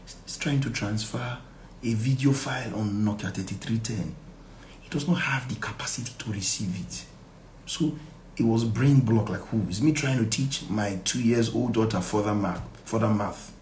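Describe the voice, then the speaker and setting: A middle-aged man with a Nigerian accent teaches scripture in a fairly low voice. He speaks with deliberate emphasis, repeating key phrases and posing questions to his listeners. The phone recording has clear room echo and a little background noise. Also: a little mellow. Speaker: pastor leading a Bible study. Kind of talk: live Bible study, reading verses aloud and explaining them. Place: small indoor room. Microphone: phone at arm's length.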